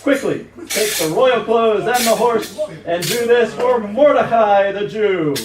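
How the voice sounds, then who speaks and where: A man voicing an excitable, decisive king in an amateur puppet show with exaggerated delivery. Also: high-pitched, unhurried. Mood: commanding, pleased. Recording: echoey room, distant mic, audience noise.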